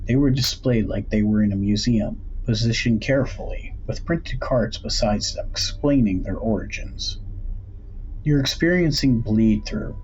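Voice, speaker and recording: A man's voice: on the low side, moderate pace, hardly any pauses, darkish tone. A man reading a scripted horror story as an audiobook narrator. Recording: dull, noisy home recording.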